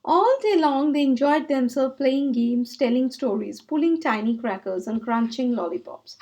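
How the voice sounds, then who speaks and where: Female children's storyteller, high voice, very animated read-aloud narration. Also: normal speed.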